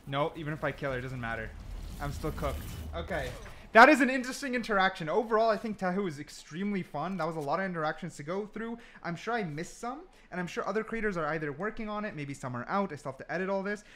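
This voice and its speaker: A man's voice: high voice, animated, fast talker, sharp tone. A man giving casual, slangy gameplay commentary, thinking aloud as he goes. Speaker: gaming content creator.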